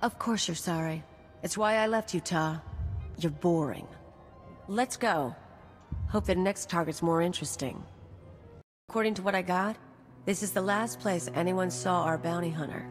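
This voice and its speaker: Woman acting a low, cynical, brash mercenary woman. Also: normal speed, long pauses, natural voice. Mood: confident, bored. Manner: curt, dismissive, sardonic. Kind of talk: video game voice acting. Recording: long reverb, music behind.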